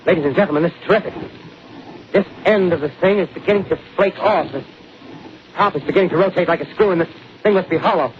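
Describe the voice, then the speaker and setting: A man playing an excited, earnest live radio reporter in 1930s drama style, formal and urgent with hesitations. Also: high-pitched, fast talker, natural voice. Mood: alarmed. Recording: noisy, muffled 1930s radio broadcast recording.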